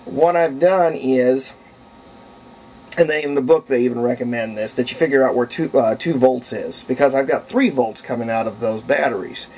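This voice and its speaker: Casting: American man, folksy hobbyist demonstrator with a mid-pitched voice, colloquial and thinking out loud. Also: normal speed, husky. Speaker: hobbyist demonstrating homemade radio. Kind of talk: casual hands-on demonstration.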